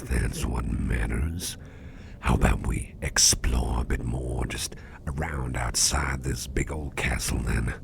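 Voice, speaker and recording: A man voicing a mischievous boss with sharp teeth in an ASMR roleplay, talking in a soft, teasing half-whisper. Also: very deep voice, vocal fry, background noise, full character voice. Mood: playful.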